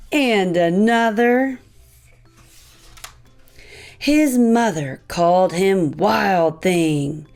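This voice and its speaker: Lady reading a kids book out loud, super slow n expressive, soft music track. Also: on the low side. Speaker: host reading children's books aloud. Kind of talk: storybook narration for young children.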